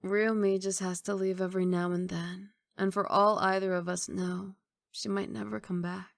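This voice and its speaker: A woman playing a guarded but tender mafia boss girlfriend in an intimate ASMR roleplay, speaking softly and in a measured, wistful way. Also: mid-range voice, little pitch movement, vocal fry, dry recording, studio quality, lightly acted.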